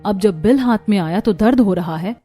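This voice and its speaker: A woman's voice: mid-range voice, animated, moderate pace, mellow, soft background music, close to an everyday voice. A woman voicing an overworked, complaining young daughter-in-law in a cartoon story. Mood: bitter. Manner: complaining, sarcastic. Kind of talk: Hindi cartoon story acting.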